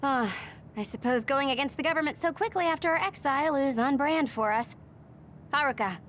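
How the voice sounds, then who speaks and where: A woman's voice: sits high, fairly quick, a bit bright, a little hiss or hum. An actress voicing a savvy, blunt young woman hacker in an anime game dub, with a casual, sardonic manner. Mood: wry, confident. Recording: music behind the voice.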